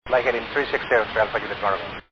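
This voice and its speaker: A man speaking as a pilot in halting, hesitant phrases over a radio transmission. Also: on the low side, steady tone. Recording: muffled, noisy cockpit radio transmission.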